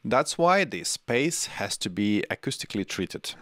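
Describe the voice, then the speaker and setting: A middle-aged man presents scripted tech video narration in a fairly low voice. His intonation swings in an animated way, and the close studio microphone gives a dry sound. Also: a little slow, dry recording. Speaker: tech channel host. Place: small home studio room. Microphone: close desk microphone on arm.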